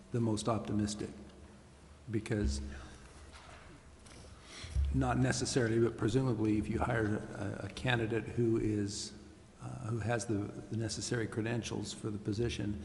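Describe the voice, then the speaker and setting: American guy at a council meeting, creaky voice, pretty flat, picks his words carefully. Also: lowish voice. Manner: measured, hesitant, careful phrasing. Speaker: city official advising a council. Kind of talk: formal public meeting talk. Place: council chamber. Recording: echoey hall, faint background noise.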